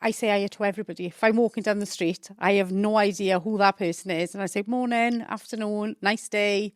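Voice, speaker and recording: A woman's voice: mid-range voice, fairly even intonation, brisk, dry recording, studio quality. A middle-aged woman from the South Wales Valleys with a Valleys accent, a podcast guest talking earnestly in long stretches. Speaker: guest from a housing membership team. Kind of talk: casual conversational interview.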